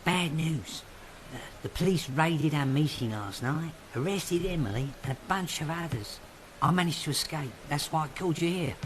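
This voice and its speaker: A man's voice: on the high side, animated, a bit bright, a little hiss or hum. An actor playing a loyal male friend who urgently warns a couple to flee, in an amateur radio drama. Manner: urgent, hurried warnings.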